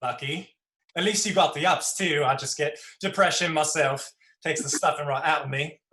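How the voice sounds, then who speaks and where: A man's voice: a little high, fairly even intonation, normal speed, bright, forceful tone, roomy sound. A man voicing Scarecrow, a crude, sardonic male junkie patient, in a dramatized script reading. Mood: glum joking. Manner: sardonic, crude, joking drawl. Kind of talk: livestream play script reading.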